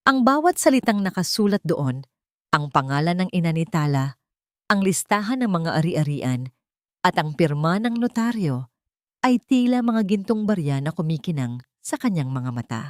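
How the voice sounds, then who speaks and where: Female audiobook narrator, Filipino accent, lowish pitch, dramatic scripted storytelling, dry studio-like sound. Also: big pitch swings, normal speed, no room sound.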